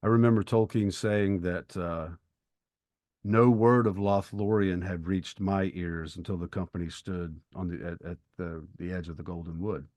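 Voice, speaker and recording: A man with a low-pitched voice talks as a podcast co-host about books. He explains things in a measured, thoughtful manner, and the sound is dry, with very little room in it. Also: steady tone, a little mellow, no echo. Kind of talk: conversational literary discussion.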